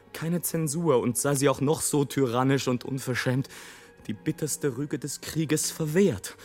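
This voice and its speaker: A lone romantic balloonist played by a male actor delivers a radio-drama monologue in a slow, declamatory voice. He is wrathful, rapturous and defiant of death, moving between hushed and impassioned delivery. His voice sits at a middling pitch, with music underneath. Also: close to an everyday voice. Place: studio radio production.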